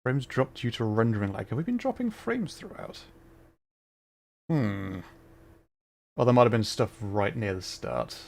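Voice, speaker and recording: A man's voice: on the low side, sing-song, a little slow, vocal fry, long pauses. A man giving casual live game commentary and chatting to viewers as a streamer. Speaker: livestreamer playing a video game.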